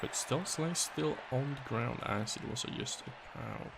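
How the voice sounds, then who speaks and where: A man casting a live video game match, his voice raspy and hoarse, thinking aloud through the plays. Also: medium pitch, a little slow, very noisy. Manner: analytical, thinking aloud, thanks viewers. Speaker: game caster.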